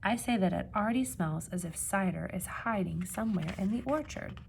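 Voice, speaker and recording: A woman reading a children's picture book aloud like a teacher, with expressive emphasis and questions put to young listeners. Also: medium pitch, gravelly, a little hiss or hum. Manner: expressive, dramatic gasps and emphasis, pausing to ask questions.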